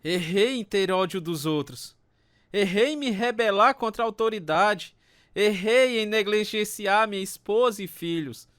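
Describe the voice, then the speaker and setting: A man's voice: high voice, a little slow, a bit bright, clear, resonant tone, no echo, clean studio sound. A man with a Brazilian accent playing a troubled, earnest reformed alcoholic, narrating and acting in a radio drama. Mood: remorseful. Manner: earnest, confessional. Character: reformed alcoholic ex-prisoner telling his story, troubled but earnest. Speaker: narrator and lead character.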